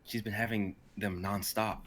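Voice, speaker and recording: A young man reads stage directions at a casual live table reading. His voice is fairly low yet bright and forceful, narrating a script. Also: steady tone, normal speed. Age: young adult.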